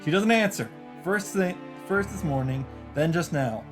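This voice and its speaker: A man's voice: high voice, expressive, moderate pace, soft background music. A man hosting a let's play, reading visual novel narration aloud and adding dramatic asides as he reacts.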